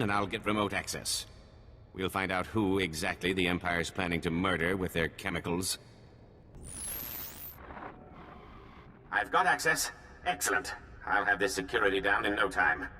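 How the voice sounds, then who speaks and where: Forceful, earnest resistance foreman, reverberant. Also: low voice, a little hiss or hum. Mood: focused. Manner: urgent, businesslike, forceful. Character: earnest, weary male foreman leading a resistance. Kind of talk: scripted video game cutscene acting.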